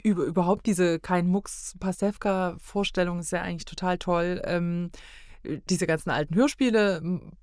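A woman's voice: lowish voice, steady tone, moderate pace, a little mellow, no echo, studio quality. A middle-aged woman talking casually as a podcast guest, often hesitating and searching for words. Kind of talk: casual conversational discussion.

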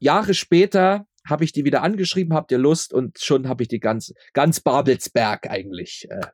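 A man's voice: fairly high pitch, normal speed, a little mellow, no room sound, clean studio sound. A man answering casually as an interview guest, informally repeating words and often checking that he is understood. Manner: informal, repeats words and phrases, seeks confirmation. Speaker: interview guest, audio drama maker.

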